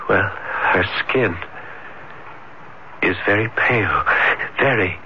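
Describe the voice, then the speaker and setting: An actor in an old radio drama playing a lonely, shy, obsessive romantic who speaks in halting, fervent repetitions. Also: male voice, lowish voice. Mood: dreamy, fervent. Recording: dull, noisy vintage radio broadcast.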